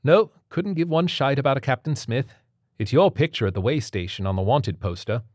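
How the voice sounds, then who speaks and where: A man's voice: medium pitch, lively intonation, fairly quick, a little mellow, dry recording, studio quality, pitched above the performer's own voice, lightly acted. A man voicing a blunt, wry male captain on the run in an adventure story. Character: gruffly blunt male captain, fellow fugitive in adventure tale.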